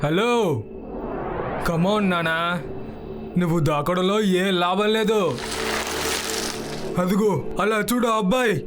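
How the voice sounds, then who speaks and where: A man voicing an excitable, bumbling henchman in an action cartoon, with exaggerated delivery. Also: high-pitched, slow, long pauses. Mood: searching, puzzled. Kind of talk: dubbed action cartoon dialogue. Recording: loud music behind the voice.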